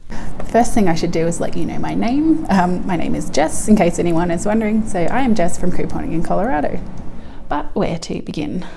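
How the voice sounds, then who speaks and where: A woman in her early thirties with an Australian accent talks casually to her viewers. Her voice sits on the low side and often drops into a creaky fry. Clear background noise runs under the homemade vlog recording. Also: lively intonation, brisk. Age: early 30s. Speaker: vlogger introducing herself on a couponing channel. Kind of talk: casual scripted-feeling chat to camera.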